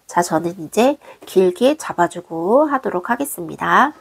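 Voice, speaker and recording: A woman, hoarse from a cold, patiently walking viewers through a craft tutorial step by step in a gentle, polite way. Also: on the low side, expressive, measured pace. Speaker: crochet designer teaching.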